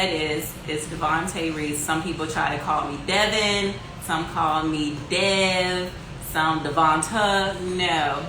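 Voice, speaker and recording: Chatty Southern woman in her twenties. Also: lowish voice. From North Carolina, US. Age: 20s. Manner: chatty, upbeat, talks straight to viewers with lots of fillers. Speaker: business founder answering viewer questions. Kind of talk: casual livestream-style Q&A. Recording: echoey room with noticeable background noise.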